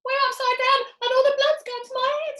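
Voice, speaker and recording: Woman acting a shrill, hysterical old wife. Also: level tone, brisk, fairly bright tone. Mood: shrieking panic. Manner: screaming, dramatic wailing. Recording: echoey room.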